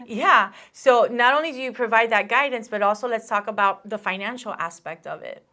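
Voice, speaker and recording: A woman from Cuba hosting a casual interview, animated and playful with her guest. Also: a little high, a little fast. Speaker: host.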